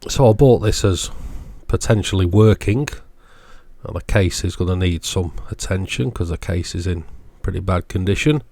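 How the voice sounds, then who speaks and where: A man with a low, deep voice talks through a bench repair in a measured, matter-of-fact way. He explains each step in short phrases, recorded dry and close with hardly any room sound. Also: mellow, no room sound. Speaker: presenter of an electronics repair channel. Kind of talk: hands-on repair demonstration commentary.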